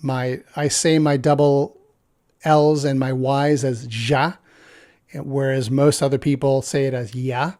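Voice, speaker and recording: A man from Argentina with an Argentine accent reads a text aloud and comments on it, thinking out loud with many pauses and fillers. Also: mid-range voice, level tone, dry recording, clean studio sound. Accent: Argentine Spanish. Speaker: channel host.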